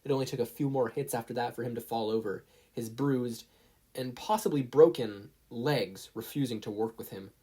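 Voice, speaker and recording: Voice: medium pitch, normal speed, mellow, husky. A narrator reading a scripted fan fiction story aloud. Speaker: narrator, podfic reader.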